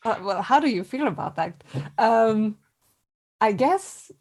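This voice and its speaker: A woman's voice: fairly high pitch, animated, takes it slowly, darkish tone. A woman chatting casually as an interview guest, thoughtful and a little hesitant as she works out her answers. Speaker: voice actor, podcast guest. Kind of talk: casual reflective chat.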